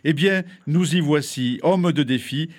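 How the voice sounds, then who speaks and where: A man hosting a radio interview, reading a scripted introduction in a measured, rhetorical way and then asking questions. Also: a little high. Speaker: radio host.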